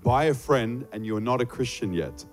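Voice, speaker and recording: A man's voice: mid-range voice, lively intonation, moderate pace. A man with an Australian accent, a native English speaker, preaching informally as a guest in short phrases at a church service. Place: church service over a microphone. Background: soft worship music.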